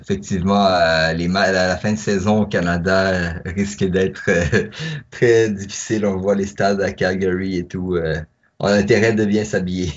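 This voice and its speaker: A man's voice: deep voice, steady tone, normal speed. A man co-hosting a podcast, talking casually in an explanatory way with many fillers. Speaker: podcast co-host. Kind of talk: casual chat. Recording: dull lo-fi audio.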